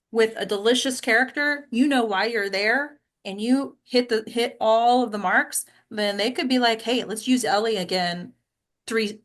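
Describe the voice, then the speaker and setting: A woman's voice: on the high side, a bit bright. A woman leading an informal group discussion as an acting coach, speaking in an encouraging, emphatic way with frequent check-in questions.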